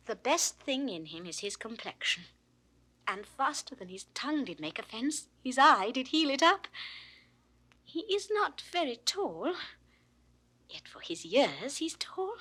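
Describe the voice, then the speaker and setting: Bright, swooping young shepherdess. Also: female voice, on the high side, big pitch swings, unhurried, pauses often, breathy edge, exaggerated voice. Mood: smitten musing. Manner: theatrical, swinging between musing and indignation. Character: proud, fickle young shepherdess smitten with a youth. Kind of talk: classical Shakespearean stage acting. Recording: 1960s studio audio drama recording.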